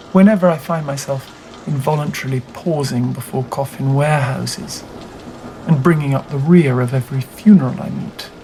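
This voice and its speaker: A narrator reading a classic novel aloud in a measured, deliberate way with dramatic phrasing. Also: medium pitch, mellow, poor audio. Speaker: audiobook reader. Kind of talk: scripted literary narration. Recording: audible background noise.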